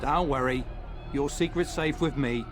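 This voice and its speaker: An actor playing a concerned, discreet guard trading gossip in a video game. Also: male voice, medium pitch, a little slow, natural voice. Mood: concerned, confiding. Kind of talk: video game character acting.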